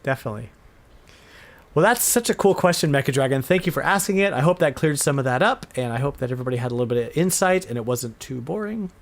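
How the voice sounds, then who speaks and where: A man's voice: mid-range voice, animated, quick pace. A middle-aged man chatting casually as the host of a podcast. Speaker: podcast host and retro game store owner.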